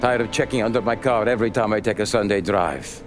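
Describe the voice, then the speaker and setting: An actor playing a weary, resigned older mob insider in a video game, gentle and defeated, speaking slowly and heavily. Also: male voice, fairly low pitch, music in the background, natural voice. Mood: weary resignation. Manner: slow, heavy, resigned. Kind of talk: cinematic video game acting.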